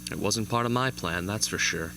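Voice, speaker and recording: A man playing a young, haunted but earnest arena survivor with intense, deliberate delivery in an amateur radio drama. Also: on the low side, noisy background, natural voice. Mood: wry, guarded. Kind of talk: amateur radio drama acting, interview scene.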